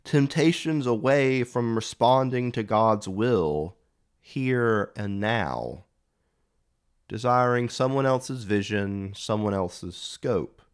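A man preaches a reflective homily in a fairly low voice. He speaks very slowly and deliberately, setting out each short phrase with measured pauses. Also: smooth.

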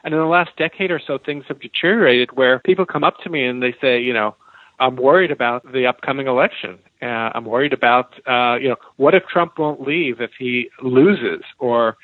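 An American man with a mid-pitched voice gives explanatory answers as an expert interview guest. The sound is dry and a little dull, like a lo-fi radio feed. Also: moderate pace, no room sound. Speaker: expert guest, law professor and author.

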